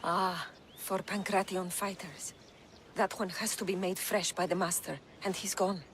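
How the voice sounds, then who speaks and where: A woman's voice: lowish voice, steady tone, a little slow, a bit bright, a little hiss or hum. An actress playing an anxious, reluctant enslaved shop woman of ancient Greece in a video game. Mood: wary, pleading. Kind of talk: video game cutscene acting.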